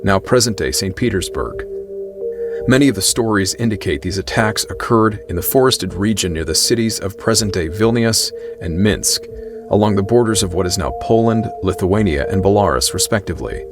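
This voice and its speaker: Low-voiced male documentary narrator. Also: music in the background. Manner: measured, even reading.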